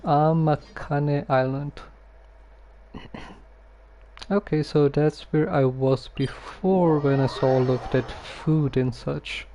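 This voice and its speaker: Slow, mellow male game streamer. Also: on the high side, steady tone, a little hiss or hum, low-quality recording. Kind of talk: casual gameplay commentary, some reading aloud.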